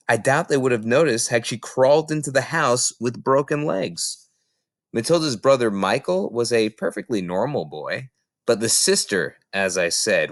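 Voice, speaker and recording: A man reading a children's book aloud in an expressive, theatrical storytelling style with emphatic phrasing. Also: medium pitch, no echo, studio quality.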